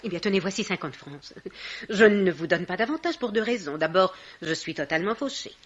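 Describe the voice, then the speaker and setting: An actress playing a haughty, penniless baroness in a radio mystery, mannered, formally polite and disdainful. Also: female voice, on the low side, expressive, brisk, dull audio, natural voice. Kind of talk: French radio mystery play acting.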